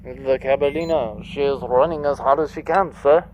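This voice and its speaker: An actor playing a harried, excitable starship engineer in a space adventure audio drama. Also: male voice, medium pitch, moderate pace, lo-fi sound, noisy background, lightly acted.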